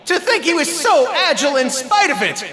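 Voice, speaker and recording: Shrill, excitable tournament announcer. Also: male voice, brisk, exaggerated voice. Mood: amazed. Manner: exclamatory, showy, breathless patter. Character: excitable, flabbergasted male martial arts tournament announcer. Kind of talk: anime game ringside commentary.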